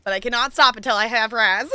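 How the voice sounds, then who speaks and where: A woman chatting casually and playfully while livestreaming a game, thinking out loud as she goes. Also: a little high, brisk, clear, resonant tone, no echo. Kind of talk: casual stream chat while playing, reading game dialogue aloud.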